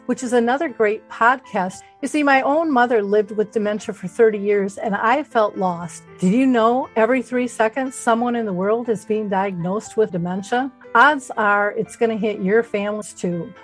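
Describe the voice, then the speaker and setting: Woman, slightly high voice, personal promotional appeal, podcast host, quiet music bed. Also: normal speed, hardly any pauses, low-quality recording.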